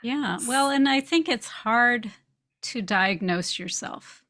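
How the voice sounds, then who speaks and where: A woman's voice: fairly high pitch, expressive, takes it slowly, fairly bright tone. A woman hosting a conversational interview, affirming and often checking in with short tag questions. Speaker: podcast host.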